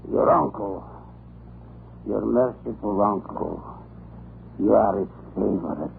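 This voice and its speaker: An actor playing a sullen old Russian prisoner guide in a radio drama, gravelly, terse and halting, with a brooding manner. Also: male voice, fairly low pitch, heavily acted. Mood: intense disbelief. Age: older. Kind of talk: 1940s radio drama acting. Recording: muffled, noisy old radio recording.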